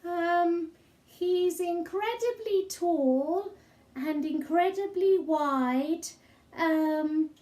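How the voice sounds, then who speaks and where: A woman's voice: extremely high pitch, very slow, warm tone, roomy sound, pitched above the performer's own voice, full character voice. A woman voicing a cheeky little boy in a storybook, earnest and wheedling as he fibs. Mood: earnest fibbing. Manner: wheedling, insistent, hesitant while inventing.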